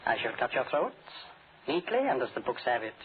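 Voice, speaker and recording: A narrow, slightly noisy old radio recording carries a man acting as a villainous doctor in a thriller. He speaks in a silky, formal, deliberate way at a medium pitch, all courtesy with cool menace underneath. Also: big pitch swings, natural voice. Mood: coolly menacing.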